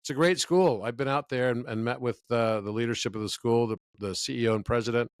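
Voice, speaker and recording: A man's voice: medium pitch, quick pace, smooth, dry recording. Enthusiastic man telling stories, dry sound. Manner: enthusiastic, storytelling. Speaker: interview guest. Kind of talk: conversational interview.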